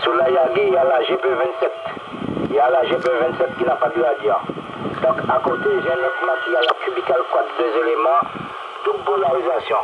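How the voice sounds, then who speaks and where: A man with a Martinican French accent chatting over amateur radio, repeating his phrases in an unhurried way. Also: on the high side, wildly swooping pitch, vocal fry. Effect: radio transmission. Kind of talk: casual radio contact chat. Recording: muffled, very noisy shortwave radio transmission. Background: loud radio noise.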